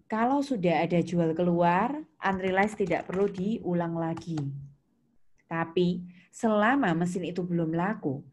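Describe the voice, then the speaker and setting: A woman's voice: lowish voice, a little mellow. A woman giving an interactive lecture as a teacher, instructing step by step and checking understanding with questions. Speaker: accounting teacher. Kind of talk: interactive lecture explaining worksheet entries. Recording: clear background noise, keyboard typing and clicks.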